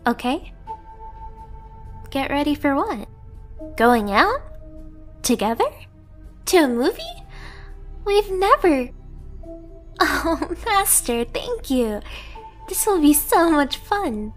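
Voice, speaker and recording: Slow, high-voiced devoted catgirl played by a woman. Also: very animated, soft background music, heavily acted. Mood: shy, conceding, then surprised, excited. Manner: tender, pleading, earnest. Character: devoted, submissive young female catgirl pet, clingy and eager. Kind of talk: scripted ASMR romance roleplay.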